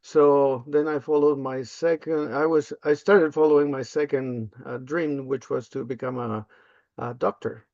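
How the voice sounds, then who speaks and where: An older man from Peru, a native Spanish speaker, answering interview questions casually and haltingly with frequent ums and uhs. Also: mid-range voice, steady tone, vocal fry, a little mellow, no echo. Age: 50s or older. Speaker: interview guest, language access specialist. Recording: slightly dull, lo-fi close audio.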